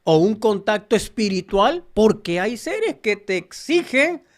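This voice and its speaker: Man, Salvadoran Spanish accent, spiritual monologue, earnest, addresses viewers, animated pitch. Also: a little slow. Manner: earnest, preachy, addresses listeners directly. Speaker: spiritual channel host.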